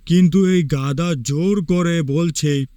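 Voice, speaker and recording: A man's voice: a little high, takes it slowly, mellow, smooth, no room sound, clean studio sound. A man playing a proud, indignant tiger in a cartoon fable, scolding with emphasis. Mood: insistent. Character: proud, indignant male tiger, wise and powerful.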